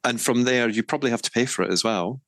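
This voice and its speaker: A man's voice: mid-range voice, fast, fairly bright tone, dry recording. A middle-aged man co-hosting a podcast, talking in a thoughtful, hedging way with some teasing. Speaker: podcast co-host. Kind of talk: casual chat.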